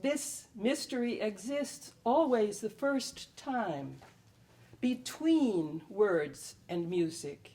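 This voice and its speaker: A woman reading her own poetry aloud at a live event, in measured and expressive phrases with deliberate pauses. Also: mid-range voice. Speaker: poet reading own work. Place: live public reading at a library.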